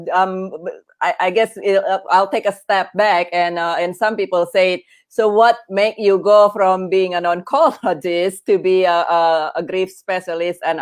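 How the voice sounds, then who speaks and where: A middle-aged woman, born in Indonesia with Indonesian as her first language, talking as a guest on a podcast in an earnest, chatty way with many fillers and restarts. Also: fairly low pitch. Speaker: guest, veterinary oncologist and grief coach. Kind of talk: podcast interview.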